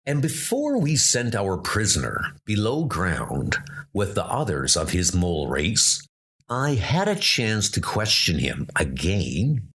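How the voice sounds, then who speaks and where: A man's voice: lowish voice, a bit bright, slightly rough, pitched below the performer's own voice, full character voice. A man playing a resolute, earnest armored hero in a comic book story, speaking in a declamatory way. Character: heroic armored male knight of post-apocalyptic Earth, earnest, resolute.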